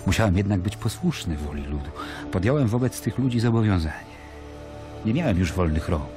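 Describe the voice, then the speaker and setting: An actor playing a stern, brooding Roman governor in an audio drama, solemn and commanding. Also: male voice, low voice, sing-song, a little fast, music in the background.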